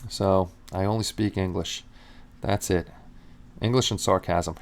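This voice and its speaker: A man's voice: low voice, steady tone, measured pace, a little hiss or hum. A middle-aged man hosting a casual live stream, rambling easily and chatting with his viewers.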